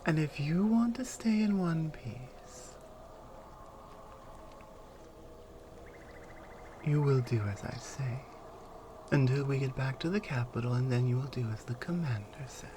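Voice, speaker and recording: A woman playing a stern, proud Amazonian warrior with a captive, in a taunting, deliberate ASMR roleplay. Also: a bass voice, animated, noisy background. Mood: commanding. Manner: taunting, deliberate, hushed at times.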